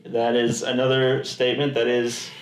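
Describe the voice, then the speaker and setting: A man's voice: mid-range voice, completely flat delivery, normal speed, slight background noise. A man co-hosting a podcast, chatting casually and comedically about movies in a rambling, jokingly sarcastic way. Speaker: podcast co-host. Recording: echoey room.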